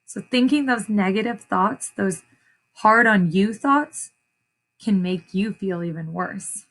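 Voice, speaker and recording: A woman reading a children's story aloud as an educator, pausing to ask questions and explain things to young listeners. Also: medium pitch, a bit bright.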